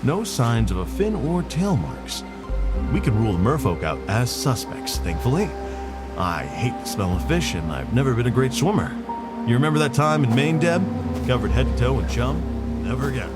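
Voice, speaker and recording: An eccentric federal agent acted by a man, chatty and oddly formal, with lively, swinging intonation. He speaks articulately in a mid-range voice over clear music, in audio drama style. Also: mid-range voice, normal speed, close to an everyday voice. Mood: musing, analytical. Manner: quirky, formal, articulate speech. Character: eccentric, chatty male FBI agent dictating to tape recorder.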